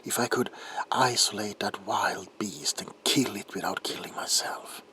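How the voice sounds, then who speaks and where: A man playing an anguished, guilt-ridden doctor confessing in an ASMR roleplay monologue, halting and intense, with a breathy voice that often drops into a whisper. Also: on the low side, sharp tone. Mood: haunted longing. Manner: halting, haunted, intense, broken phrases.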